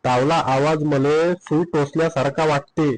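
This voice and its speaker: A man's voice: a little high, little pitch movement, moderate pace, natural voice, rough recording. A man playing a rude, grumbling husband in a comedy cartoon, blunt and sarcastic with a Vidarbha Marathi accent. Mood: exasperated, indignant.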